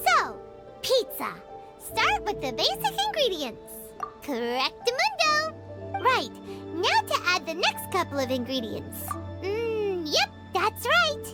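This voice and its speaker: A woman voicing a tiny, cheerful, food-loving fairy girl companion in a video game, with a squeaky childlike voice and chirpy manner. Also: huge pitch swings, takes it slowly, over loud music, full character voice. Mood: upbeat, busy. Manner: chirpy, speaks of herself in third person. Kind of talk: video game character acting.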